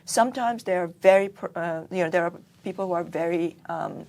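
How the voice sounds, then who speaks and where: A woman podcast host in her thirties or forties, from Iran. Her voice is a little lower than most women's. She interviews in a conversational style. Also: brisk, creaky, darkish tone.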